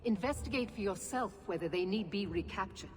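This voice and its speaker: An actress playing a stern, zealous knight commander in a video game, formal and imperious. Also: female voice, mid-range voice, fairly quick, noisy background, natural voice. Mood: imperious, challenging. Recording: long hall reverb, music behind.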